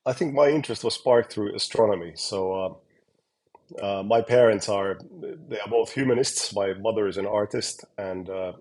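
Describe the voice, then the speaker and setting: Finnish-accented scientist guest, low husky voice, halting and thoughtful. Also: male voice, darkish tone. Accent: Finnish, with American and British touches. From Finland. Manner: hesitant, many fillers and restarts. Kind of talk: relaxed interview talk. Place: home or office in Helsinki.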